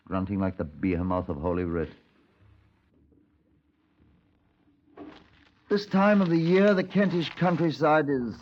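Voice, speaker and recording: Low, brusque police detective. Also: male voice, darkish tone. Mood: wry narration. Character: brusque, impatient, sardonic male British police detective. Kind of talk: 1950s radio crime drama acting and narration. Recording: dull, band-limited 1950s radio recording.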